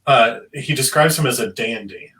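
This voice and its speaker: A man with a bright, forward voice and middling pitch talks as a panelist in a casual discussion. He is articulate and opinionated, leaning into his points with emphasis. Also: moderate pace, rough recording.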